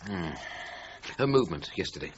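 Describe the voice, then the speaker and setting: A middle-aged British police inspector in a radio crime drama. His low voice moves freely up and down as he probes politely, pausing to muse. The recording is dull, with faint noise. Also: big pitch swings. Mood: musing, probing. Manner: questioning, hesitant musing with grunts. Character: male police inspector, probing, polite, doggedly thorough.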